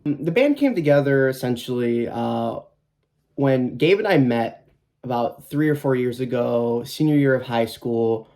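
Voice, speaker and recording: A man's voice: medium pitch, animated, measured pace, a little mellow. A man in his twenties, a band guitarist, answering interview questions in a casual, hesitant way with many fillers and restarts. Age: 20s. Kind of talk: documentary interview.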